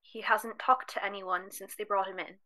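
Woman as bright-voiced, pleading facility worker. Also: mid-range voice, steady tone, moderate pace, close to an everyday voice, poor audio. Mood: pleading, earnest. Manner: gentle, persuasive. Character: earnest, pleading staff member at grim facility. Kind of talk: audio drama acting.

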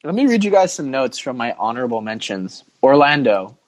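A man with a mid-pitched voice hosts a loose sports podcast chat. He is blunt and informal, tossing out questions and frank verdicts. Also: big pitch swings, brisk. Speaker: podcast host.

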